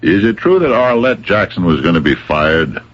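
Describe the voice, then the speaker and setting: An actor playing a dogged, plain-spoken police captain who questions in a halting, probing way, in a radio drama. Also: male voice, deep voice, sing-song, a bit bright, full character voice. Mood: methodical. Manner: halting, probing, deceptively bumbling. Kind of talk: 1970s-80s radio drama acting. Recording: dull lo-fi old radio recording.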